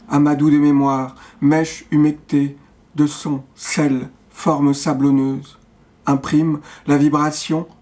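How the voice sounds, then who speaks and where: A man reads poetry in a mellow, fairly high voice. His delivery is very even and measured, broken into short fragments with pauses between them. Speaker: poet. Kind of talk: poetry reading.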